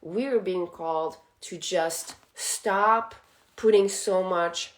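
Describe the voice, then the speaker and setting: Woman, French native speaker, spiritual host, casual talk, unhurried, laughs often. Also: on the low side.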